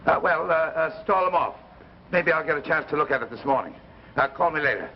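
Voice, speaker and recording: An animated, absorbed radio-drama professor. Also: male voice, on the high side, brisk. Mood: flustered, evasive. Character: absorbed bachelor professor, genial but evasive and impatient. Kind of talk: 1950s radio drama acting and narration. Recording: muffled, noisy 1950s radio recording.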